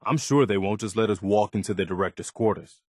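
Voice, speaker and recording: An actor playing an earnest, loyal militia lieutenant in a video game, sincere, respectful and soldierly. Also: male voice, lowish voice, a little fast, no room sound, clean studio sound, lightly acted. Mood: urgent, focused. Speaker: companion character in a video game.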